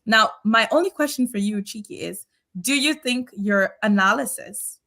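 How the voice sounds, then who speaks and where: Measured woman. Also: a little high, sharp tone. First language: French. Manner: measured, questioning, some hesitations. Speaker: podcast panelist. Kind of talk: panel debate.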